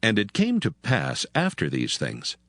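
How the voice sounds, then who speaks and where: A man's voice: on the low side, wildly swooping pitch, a little slow, fairly bright tone, no room sound, clean studio sound. A man reading a scripted, dramatized scripture story as its narrator.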